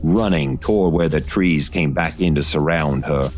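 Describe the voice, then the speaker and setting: A man with a profoundly deep voice narrates gothic horror fiction. His delivery is measured and literary, like a storyteller reading from a script. Soft music plays faintly behind him.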